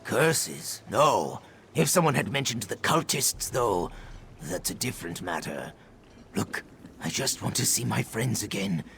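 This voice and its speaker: A man's voice: lowish voice, very animated, unhurried, creaky, a bit bright, slight background noise, exaggerated voice. A man voicing an anxious lizard-folk refugee in hiding, in fantasy video game dialogue. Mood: uneasy, explaining.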